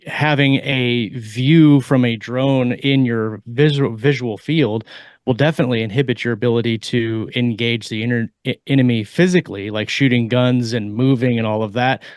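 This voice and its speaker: A man in a casual podcast discussion. His delivery is halting as he works through his explanation. The sound is dry, with hardly any room on it. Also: medium pitch, steady tone, clear, resonant tone, no echo. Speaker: podcast co-host. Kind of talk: casual discussion of military technology.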